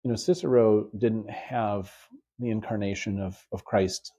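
Husky, mellow male podcast host. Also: on the low side, steady tone, a little slow. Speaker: podcast host and high school teacher. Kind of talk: casual conversation about teaching.

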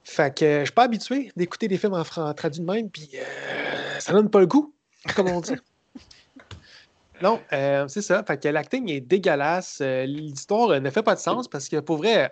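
A man with a Québécois accent chats on a podcast, recapping and mocking a film with dry sarcasm. He rambles and halts as he goes. His voice sits a little high, and the recording is close and dry. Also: dry recording. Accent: Québécois French. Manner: sarcastic, rambling, halting storyteller. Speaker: podcast co-host. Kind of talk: casual podcast chat about films.

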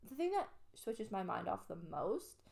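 Chatty Canadian woman with gravelly fry. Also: medium pitch, wildly swooping pitch, moderate pace, a little mellow. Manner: chatty, upbeat, lots of fillers. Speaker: podcast co-host. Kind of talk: casual chat.

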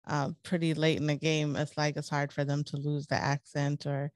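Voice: mid-range voice, normal speed, no room sound. Casual co-host from an Eritrean family, laid-back, dry close sound. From Eritrean family, early childhood in Ethiopia. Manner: relaxed, chiming in with personal stories. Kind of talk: casual conversation.

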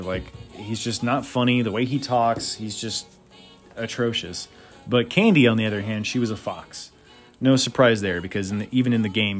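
A man hosting a solo podcast, giving blunt, opinionated reviews with crude humor. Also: medium pitch. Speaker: podcast host.

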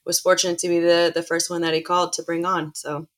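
A young woman, a professional soccer player, chatting casually about her background in an interview, telling stories in a relaxed way. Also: fairly low pitch, quick pace. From born in Chile, grew up in Illinois and Indiana. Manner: chatty, relaxed storytelling with frequent restarts.

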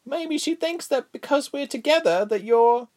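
Storybook young girl, high-pitched, timid, murmuring and wistful, done by a male reader. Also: animated, moderate pace, darkish tone. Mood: timid, hurt. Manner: hesitant, murmuring.

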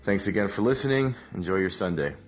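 A man, hungover, hosting a casual solo podcast recap in a relaxed, rambling way with many fillers. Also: low voice, fast. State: hungover, rough voice. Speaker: podcast host, MMA analyst.

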